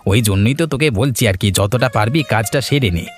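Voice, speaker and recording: A man's voice: mid-range voice, fast talker, faint music behind, natural voice. A man voicing a friendly, eager village man in a cartoon, chatty and helpful. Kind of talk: cartoon voice acting.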